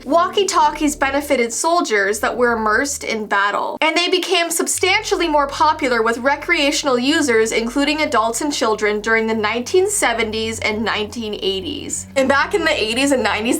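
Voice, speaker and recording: A woman in her twenties with a notably high voice. She hosts a vlog with chatty, animated delivery. She leans on words for emphasis and keeps it casual as she tells stories. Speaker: vlog host and storyteller. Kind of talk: chatty scripted YouTube storytelling to camera.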